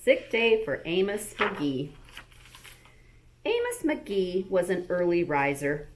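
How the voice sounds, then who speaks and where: A woman, a school librarian, reading a bedtime story aloud to young children in an expressive, animated manner. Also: lowish voice, takes it slowly, background noise.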